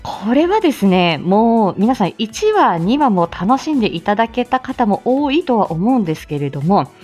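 Giggly playful lady chatting on her live stream, really animated, soft music behind. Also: on the high side, moderate pace, highs cut off. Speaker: live audio stream host. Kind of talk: casual live chat and announcements.

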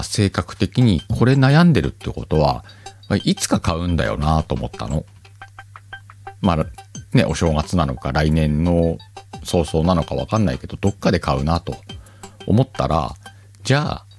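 Deep-voiced man with a Kansai accent, chatty. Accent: Kansai Japanese. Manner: relaxed, chatty, self-deprecating, talks to listeners directly. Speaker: podcast host. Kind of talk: casual solo chat. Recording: faint background music.

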